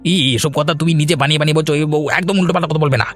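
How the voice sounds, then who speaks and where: A man's voice: on the high side, rapid-fire, fairly bright tone, soft background music, natural voice. A man voicing a greedy, resentful village villain in a cartoon, grumbling and menacing. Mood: defiant, threatening.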